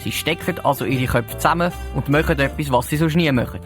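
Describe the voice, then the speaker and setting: A man's voice: fairly high pitch, fast. A man with a Swiss German accent reading scripted storytelling narration for an audio drama. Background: music.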